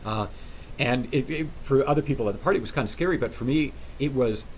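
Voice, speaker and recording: A man's voice: medium pitch, a little hiss or hum, poor audio. Rambling middle-aged man hosting a talk show. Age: 50s. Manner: rambling, halting with many restarts. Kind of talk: casual interview chat.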